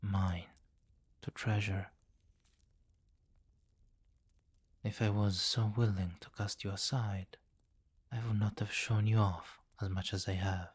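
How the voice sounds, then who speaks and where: A deep, slow-speaking vampire king, acted by a man for an ASMR roleplay. He is commanding and possessive, addressing the listener intimately with teasing condescension. The recording is close and very dry. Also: flat delivery, slightly rough, no room sound. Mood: possessive, seductive.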